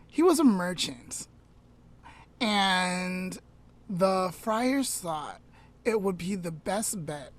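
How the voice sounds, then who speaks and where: A woman podcast host telling a story aloud informally, in a measured way with dramatic pauses. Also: lowish voice.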